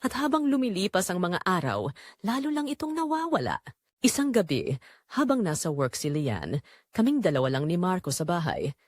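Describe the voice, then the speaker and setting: A woman narrating an audio drama as a middle-aged mother-in-law confessing secret longing, in an intimate, measured way. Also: lowish voice, big pitch swings, no echo, clean studio sound. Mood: confessional, yearning. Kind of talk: first-person dramatic storytelling.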